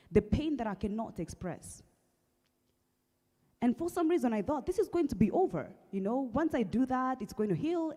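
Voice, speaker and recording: A young Kenyan woman with a husky voice, earnestly telling her personal story at an awareness event, with halting restarts. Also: mid-range voice, dark tone. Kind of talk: personal testimony talk. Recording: long hall reverb.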